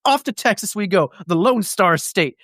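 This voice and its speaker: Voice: medium pitch, expressive, moderate pace, a bit bright, no room sound, studio quality. A podcast host recapping things in a casual, comedic way, with slangy, profane, jokey storytelling.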